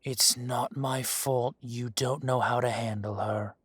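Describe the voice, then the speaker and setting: A smug dragon crime lord, drawls slowly with a husky, breathy voice. He teases and threatens in the same unhurried tone, often sinking into a low whisper. The sound is close and dry. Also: fairly even intonation, fairly bright tone, no room sound. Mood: teasing. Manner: slow, smug, teasing, drops to whispers. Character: smug dragon mafia boss, teasing and menacing. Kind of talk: audio roleplay acting.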